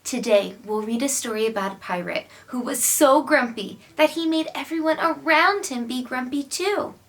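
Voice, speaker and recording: A woman reading a picture book to children in an expressive, deliberate storyteller's delivery. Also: high-pitched. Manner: clear, deliberate delivery addressed to young children. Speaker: children's read-aloud storyteller and host.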